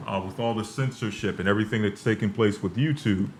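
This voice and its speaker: Low-voiced male host taking calls. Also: background noise. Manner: measured, agreeable, hesitant fillers. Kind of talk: call-in talk show hosting.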